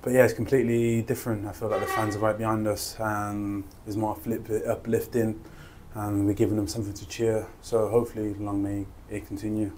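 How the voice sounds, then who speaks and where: A man's voice: fairly low pitch, level tone, fairly quick, hardly any pauses, background noise. A young man, a footballer giving a post-match interview in a modest, laid-back way with lots of fillers, on a lapel mic indoors. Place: indoor interview room by a sponsor board.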